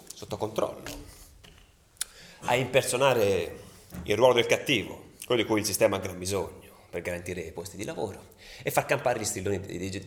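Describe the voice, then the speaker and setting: An actor playing a passionate, embittered football ultra in a halting, colloquial confessional monologue for radio theatre. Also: male voice, lowish voice. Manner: halting, colloquial, dropping to near-whispers.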